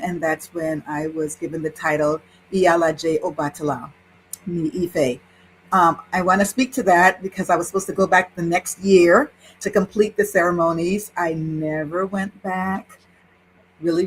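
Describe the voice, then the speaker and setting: A woman in her sixties with a somewhat low voice tells her life story as an interview guest. She talks in a lively, warm-hearted way and often breaks into laughter. A faint hiss of noise sits behind her. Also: moderate pace, rough recording. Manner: animated, laughs while telling a story. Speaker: guest, Yoruba priestess. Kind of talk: personal storytelling in conversation.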